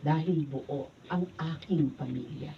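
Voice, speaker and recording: A woman's voice: low-pitched, slow pace, creaky, exaggerated voice. A woman acting in a Filipino radio drama as an emotional wayward daughter, with a Filipino accent and melodramatic delivery. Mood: calm, reflective. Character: Myra, anguished wayward daughter, later repentant and at peace. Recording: distant mic, dull noisy room recording.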